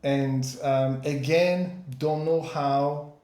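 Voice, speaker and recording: A man's voice: fairly high pitch, fairly even intonation, drawn-out words, a little mellow, echoey room. Hesitant Polish-born man in his forties. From Poland. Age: 40s. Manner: hesitant, repeats words while searching for English. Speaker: podcast guest. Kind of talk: casual interview chat.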